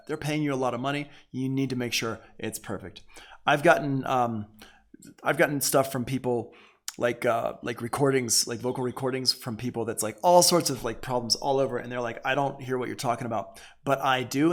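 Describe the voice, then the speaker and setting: A man with a medium-pitched voice teaches audio production in a casual live tutorial. He talks conversationally, explaining as he works and restating his main points for emphasis. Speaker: music production instructor.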